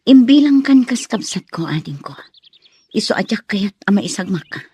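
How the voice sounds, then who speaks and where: Woman acting a husky, caring big sister. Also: medium pitch, very animated, normal speed, warm tone, no echo. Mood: tender, concerned. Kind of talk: radio drama acting.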